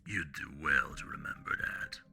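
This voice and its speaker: A man's voice: very deep voice, wildly swooping pitch, moderate pace, pushed and bright, husky, soft background music, heavily acted. A man playing a menacing, impatient client who speaks with cold threat in an English fan dub. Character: menacing, impatient male client demanding results.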